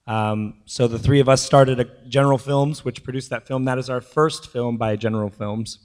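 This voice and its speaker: A man with a worn, hoarse voice after singing too hard the night before. He tells his story in a halting way, with many pauses and fillers. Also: medium pitch, steady tone, a little mellow. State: losing his voice. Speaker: film producer. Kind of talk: post-premiere panel talk.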